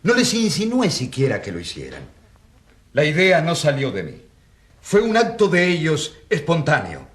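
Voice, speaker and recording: Expressive, earnest country priest. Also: male voice, on the high side, normal speed. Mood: earnest defensive. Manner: earnest, solemn. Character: earnest, defiant rural priest standing accused. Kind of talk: radio drama acting.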